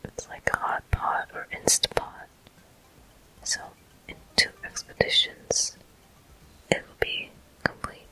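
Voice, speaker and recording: A person whispering gameplay commentary for an ASMR video, breathy and gentle, in short, unhurried phrases. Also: rough recording. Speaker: host of a gaming ASMR video.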